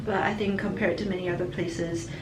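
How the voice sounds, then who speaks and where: A woman's voice: fairly low pitch, steady tone, fairly quick, roomy sound, noisy background. A young woman from Singapore chatting casually in a vlog, answering viewer questions in a reflective way and laughing between answers. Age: young adult. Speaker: vlogger.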